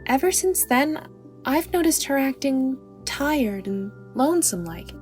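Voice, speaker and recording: A woman's voice: high-pitched, expressive, unhurried, darkish tone, soft background music. A woman voicing an anxious, devoted young maidservant girl in an audiobook, with an earnest, deferential, folksy rural way of talking. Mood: worried, confiding. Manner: rustic folksy dialect, deferential, breathlessly earnest. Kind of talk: audiobook character acting.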